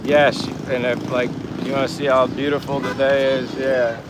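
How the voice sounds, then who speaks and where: A man's voice: medium pitch, little pitch movement, moderate pace. A man chatting casually and meandering through an informal livestream, reading out viewer comments. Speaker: livestream vlogger. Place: outdoors in the Philippines, in daytime. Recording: noisy outdoor ambience with wind, vehicles. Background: wind, vehicle and outdoor ambience noise.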